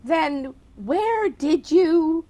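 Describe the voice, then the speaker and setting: A soft, fluttery old aunt, played by a woman in a radio play. She speaks slowly, in a high voice that swings widely when she is delighted. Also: animated, heavily acted. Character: gentle elderly spinster aunt, easily delighted. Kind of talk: amateur audio drama.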